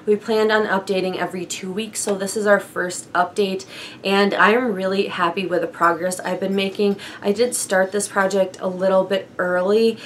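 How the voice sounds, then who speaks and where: A young woman with a froggy, hoarse voice from a chest cold, chatting casually through a beauty product update with light laughs and fillers. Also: medium pitch, little pitch movement, normal speed, slight background noise. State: hoarse from bronchitis. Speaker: beauty vlogger.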